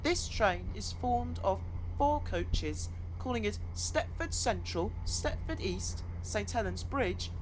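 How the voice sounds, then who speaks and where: A woman's voice: medium pitch, animated, slow. A woman reading scripted train platform announcements in a formal manner, in stitched-together phrases. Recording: clear background noise, vehicle ambience.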